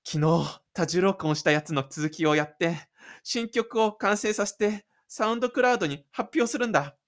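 A man plays a stubborn high school boy in a radio drama, his voice lifted above a grown narrator's. He exclaims his private thoughts between breaths, sounding like a shy loner who has bolted from class. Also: normal speed. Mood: elated, defiant. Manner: breathless, exclaiming inner monologue.

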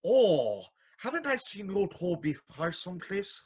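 An actor playing a polite, fussy, apologetic clock repairman in a radio drama, with a husky, hesitant, formal delivery. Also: sits high, very animated, a little slow, a little mellow, exaggerated voice. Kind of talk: vintage radio drama acting.

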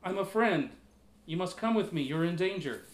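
A man's voice: high-pitched, expressive, normal speed. A man voicing an elderly male priest who gives urgent, clipped commands. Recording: echoey room.

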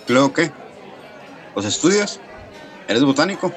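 Inquisitive, practical engineer. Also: male voice, on the high side, big pitch swings, moderate pace. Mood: curious. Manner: casual, questioning. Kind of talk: radio drama dialogue. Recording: café crowd murmur, indoor room.

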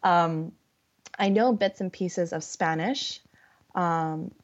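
A young woman with a Californian accent, a guest chatting casually, friendly and unhurried, with many fillers. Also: lowish voice. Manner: friendly, fillers and laughs, deliberate. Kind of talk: casual conversation. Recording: dull lo-fi sound.

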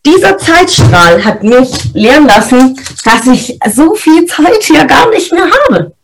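Woman, 30s, higher register; motivational podcast host, explains step by step. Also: takes it slowly, low-quality recording. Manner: lively, explains step by step with frequent "ja?" check-ins. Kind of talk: motivational talk on goal setting.

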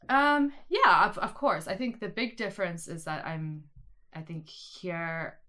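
A woman's voice: on the low side, unhurried, pushed and bright. A woman in her thirties from South Africa, a media studies teacher answering interview questions at length with many restarts and fillers. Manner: rambling, many restarts and ums.

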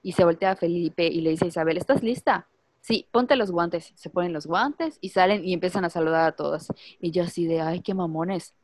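A woman's voice: on the low side, brisk, a little mellow, no echo. A woman, chatting casually and telling stories with wry asides. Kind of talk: casual chat.

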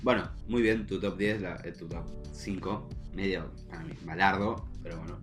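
A man's voice: sits low, fairly even intonation, normal speed. Young Argentine man chatting over guitar music. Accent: Rioplatense Argentine. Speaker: podcast co-host. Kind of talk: casual podcast chat.

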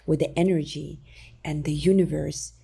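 A woman who teaches singing, talking in an interview in calm, gentle, halting non-native English with many fillers. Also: deep voice, gravelly, breathy edge. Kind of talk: conversational interview.

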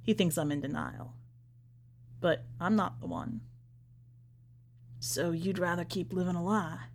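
A woman voicing a bitter, skeptical son in a story, terse and accusing in a low-key way. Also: measured pace, slight background noise. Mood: cold disbelief. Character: bitter, accusing son of a dead man, confronting preacher.

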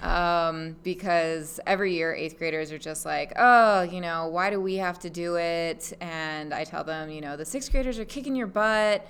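A woman's voice: lowish voice, normal speed, few pauses, fairly bright tone, clean tone. A woman in her thirties from Hawaii chatting casually as a podcast guest, with a wry, self-mocking way of talking. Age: 30s.

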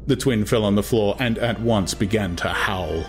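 A man's voice: lowish voice, normal speed, soft background music. A man reading a novel aloud as narrator, in dramatized, scripted literary storytelling. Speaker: audiobook narrator.

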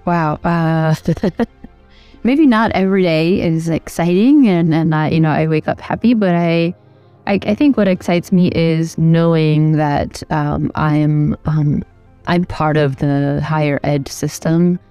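A woman's voice: deep voice, slow pace, dark tone, clean tone, dry recording, lo-fi sound, soft background music. A middle-aged woman from Albania, answering interview questions thoughtfully with many fillers and restarts. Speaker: architect and program director, guest.

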